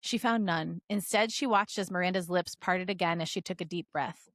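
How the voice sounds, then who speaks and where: A woman's voice: on the low side, normal speed, dry recording, studio quality. A woman podcast co-host reading fan fiction aloud in a giggly, joking way with casual asides.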